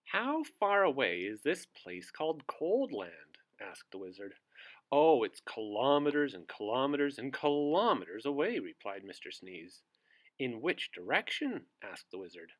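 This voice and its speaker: Lively man reading a children's storybook. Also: mid-range voice, unhurried. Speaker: storybook reader and co-host. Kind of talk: animated children's read-aloud.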